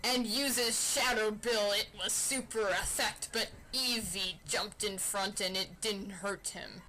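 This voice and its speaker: A woman's voice: medium pitch, steady tone, slow, sharp tone, rough recording. A woman doing an amateur, playful mock-dramatic story reading with casual asides. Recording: faint fan noise behind.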